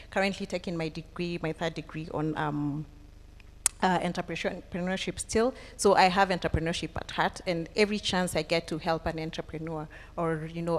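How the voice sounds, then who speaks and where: A woman with a Kenyan accent speaking unscripted on a panel as a trainer, halting as she thinks aloud. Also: lowish voice, a little hiss or hum. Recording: echoey hall with long reverb.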